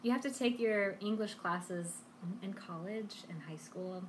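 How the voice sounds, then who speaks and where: A woman's voice: mid-range voice, a little slow. Woman chatting on a live lesson stream, encouraging, casual, bit of noise behind her.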